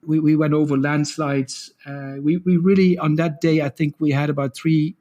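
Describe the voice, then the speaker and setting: A middle-aged man with a deeper voice, a guest telling stories in a casual interview. He talks quickly, restarts his sentences often and laughs between stories. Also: steady tone, warm tone, lo-fi sound. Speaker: guest, co-founder of a training program.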